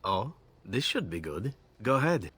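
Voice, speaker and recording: A man's voice: on the low side, sing-song, unhurried, fairly bright tone, breathy edge, exaggerated voice. An actor voicing a sly, amused male elf assassin in a video game, wry and self-assured. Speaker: game companion.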